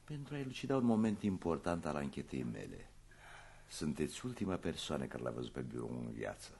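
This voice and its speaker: A very deep, gravelly police commissioner. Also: male voice, very animated, a little mellow, a little hiss or hum, heavily acted. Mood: ironic, pressing. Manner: probing, politely insinuating. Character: shrewd, ironic male police commissioner questioning suspects. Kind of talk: Romanian radio theatre acting.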